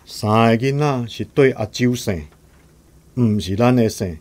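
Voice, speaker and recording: A man's voice: medium pitch, measured pace, darkish tone, dry recording, natural voice. Resigned older Taiwanese father played by a man. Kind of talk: radio drama acting.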